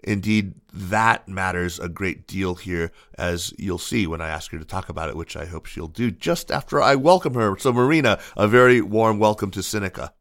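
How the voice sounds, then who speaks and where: A man hosting a podcast interview, introducing his guest in a measured, articulate way with the odd filler and restart. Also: low voice, fairly bright tone, no echo, studio quality. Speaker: podcast host. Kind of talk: scripted intro then interview.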